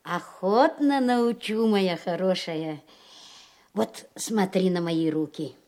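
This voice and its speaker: An actress playing a kind, patient old grandmother in a Russian fairy-tale audio play, gentle and encouraging. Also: fairly low pitch, slow pace.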